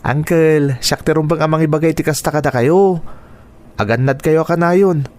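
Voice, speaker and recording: A man's voice: fairly high pitch, normal speed, a little mellow, close to an everyday voice. A man playing a caring young nephew in a radio drama, earnest, concerned and respectful.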